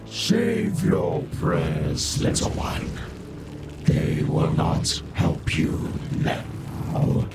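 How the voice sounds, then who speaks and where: A man playing a huge, coldly mocking reptilian demon in a horror audio drama, with a gravelly growl and slow, condescending delivery. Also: rumbling low, wildly swooping pitch, full character voice. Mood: cold menace. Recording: dramatic music behind the voice.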